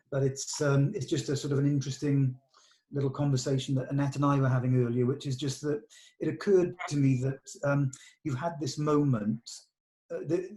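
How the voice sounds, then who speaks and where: A man's voice: mid-range voice, warm tone, poor audio. A man directing a read-through, giving actors encouraging notes in conversation and often rephrasing as he goes.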